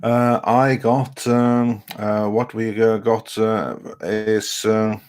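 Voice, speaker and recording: A man co-hosting a live stream panel, reading out viewer messages and commenting, with a halting delivery that stretches some words. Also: lowish voice, little pitch movement, a little mellow, clean tone.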